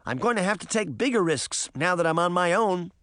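A man's voice: high-pitched, animated, brisk, bright, forceful tone, no room sound, clean studio sound, voice pushed up. A man voicing a spirited, stubborn little girl in a storybook, childlike and defiant.

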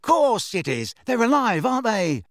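A cocky teenage boy prankster, played by a male audiobook reader. He sounds breezy and defiant, pitched up and animated, with cheeky confidence. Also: slow, dry recording, studio quality, exaggerated voice.

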